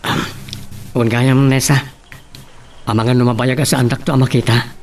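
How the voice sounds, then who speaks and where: Man acting a humble lovelorn young man, medium-pitched. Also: flat delivery, moderate pace, darkish tone, natural voice. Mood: downhearted. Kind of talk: Ilocano audio drama acting.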